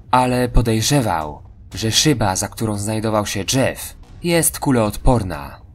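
Lowish-voiced male horror narrator. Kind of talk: scripted horror story narration.

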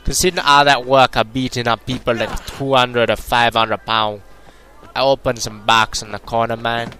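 A young man from Britain rambles through his game commentary with a bright, forward voice. He jokes constantly and leans on a put-on Jamaican lilt that keeps fading in and out. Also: medium pitch, flat delivery, normal speed, faint music behind. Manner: joking, rambling, puts on a mock Jamaican accent. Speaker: gameplay commentator. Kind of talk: casual let's-play commentary.

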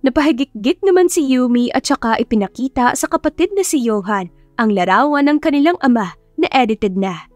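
A woman's voice: fairly high pitch, no echo. A woman with a Filipino accent reading a romance story as scripted, dramatic audiobook narration. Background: soft background music.

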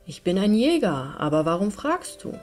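A woman's voice: mid-range voice, sing-song, normal speed, a little mellow, soft background music, close to an everyday voice. A woman voicing a bold, defiant teenage huntsman in a fairy-tale audiobook, firm and plain-spoken. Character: bold, defiant young huntsman boy, fearless.

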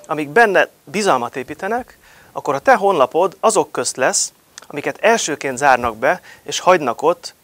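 A man's voice: fairly high pitch, a bit bright, no room sound. A man in his thirties giving a promotional talk to camera, emphatic and full of rhetorical questions, recorded on a lapel mic in a studio. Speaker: marketing speaker.

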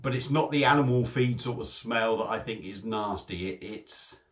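A man's voice: on the low side, moderate pace. A man, casually reviewing a beer to camera from a small home room on a desk webcam, chatty and prone to restarting his phrases.

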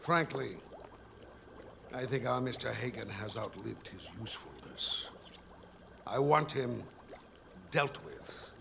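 An actor playing a ruthless, imperious businessman villain in an animated series, with pompous, formal diction. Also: mid-range voice, takes it slowly, pauses often, full character voice. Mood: cold, displeased. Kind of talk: dramatic animated series acting. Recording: clearly noisy, hissy background.

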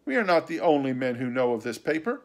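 A man voicing a cautious, firm gentleman doctor in an audiobook, speaking with emphatic warning. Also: medium pitch, sing-song, quick pace, voice pushed up. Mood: stern warning. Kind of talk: audiobook character reading.

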